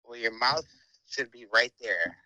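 Man on video call, very bright, smooth voice, flirty teasing banter, dry sound. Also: mid-range voice, a little slow, dry recording, low-quality recording. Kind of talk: casual banter.

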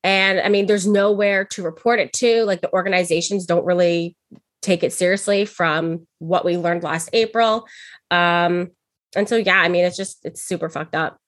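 A woman's voice: lowish voice, fairly even intonation, normal speed, no echo. A young woman speaking bluntly and candidly as a guest in a casual interview, swearing freely. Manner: outspoken, blunt, frequent swearing. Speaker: podcast guest, business owner.

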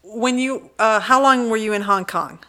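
A woman's voice: on the high side, moderate pace, bright, forceful tone. A woman hosting a casual interview, informal and responsive, chiming in readily. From born in Belgium, moved away at six. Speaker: interview host.

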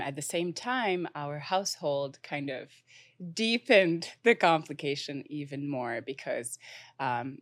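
Young woman guest from Morocco, low voice, measured and reflective, dry close recording. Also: no echo. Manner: thoughtful, many fillers. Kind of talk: conversational interview.

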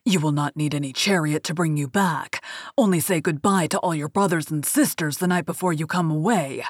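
Woman voicing a mournful, husky storybook beast. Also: low voice, no room sound, studio quality. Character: sorrowful, pleading male beast, gentle but solemn. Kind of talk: storybook character reading.

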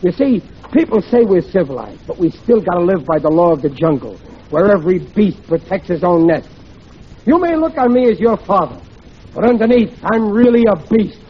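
An actor playing a blustering, jealous American working-class husband and father in radio comedy, speaking bluntly and indignantly in rough grammar. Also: high voice, very animated, normal speed, full character voice. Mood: confiding, self-important. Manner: blunt, indignant, ungrammatical speech. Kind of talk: old-time radio situation comedy acting. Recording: dull, noisy vintage radio recording.

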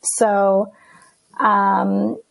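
Hesitant New woman with a gravelly edge, a guest on a casual interview podcast. Her was Greek, and she fills her speech with ums and uhs. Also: mid-range voice, flat delivery, very slow, low-quality recording. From Queens, New York. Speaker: guest, personal stylist.

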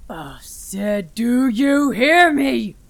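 A woman acting a gravelly, anguished grieving father. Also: fairly high pitch, sing-song, very slow, no room sound, pitched above the performer's own voice, exaggerated voice. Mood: defiant rage. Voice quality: hoarse, gravelly. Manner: mumbles brokenly, then bellows in despair. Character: anguished grieving father, raging at monsters in the dark. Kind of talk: dramatic audio fiction acting.

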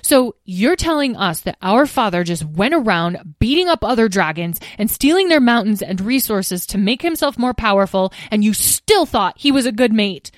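A woman's voice: mid-range voice, very animated, normal speed, few pauses, dry recording, clean studio sound, pitched above the performer's own voice, close to an everyday voice. A woman voicing a hot-tempered, troubled young male dragon who speaks indignantly. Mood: angry. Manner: indignant, raised voice.

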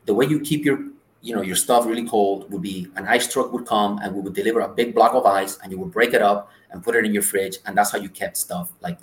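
Cuban-born man, low voice, roomy echo. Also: a little hiss or hum. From Cuba. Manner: earnest, anecdotal storyteller. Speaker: guest. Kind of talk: casual interview storytelling.

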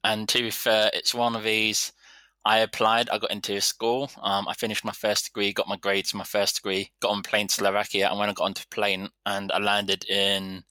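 Guy in his 30s, easygoing chatty vet, lowish voice, bright and dry. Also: flat delivery, a little fast, smooth, no room sound. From London, England. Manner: easygoing, rambling storyteller. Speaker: vet surgeon guest. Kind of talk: casual interview chat.

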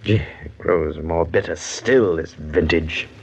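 Low-voiced, bitter, imperious nobleman. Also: slow, creaky, a little mellow, slightly rough, full character voice. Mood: bitter brooding. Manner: theatrical, imperious, musing. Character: bitter, jealous nobleman brooding over wine and betrayal. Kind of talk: old-time radio drama acting. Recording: muffled old radio recording, faint noise.

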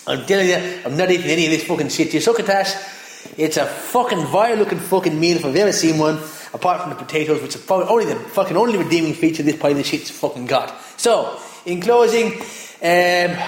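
A man's voice: fairly high pitch, pitched below the performer's own voice, natural voice. A man playing a crude, foul-mouthed Irish cook who rants and swears through a cooking parody, in a put-on Irish accent. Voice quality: constant swearing, gruff rants. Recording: very echoey room.

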